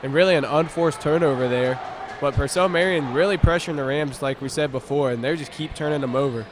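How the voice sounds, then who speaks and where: Young male color commentator, American accent, rapid delivery, somewhat high voice, conversational basketball analysis. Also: background noise. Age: young adult. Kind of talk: live sports analysis, conversational. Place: at a live basketball game.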